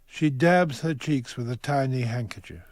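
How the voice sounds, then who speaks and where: A man narrating a radio courtroom drama in a measured, literary storytelling manner, observant and poised. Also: mid-range voice, lightly acted. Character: dry, observant narrator setting courtroom scenes.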